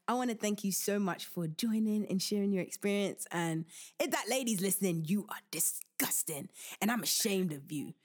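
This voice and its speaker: A young woman hosting a podcast, giving casual relationship advice in an animated, joking and preachy way. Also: mid-range voice, normal speed. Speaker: podcast host.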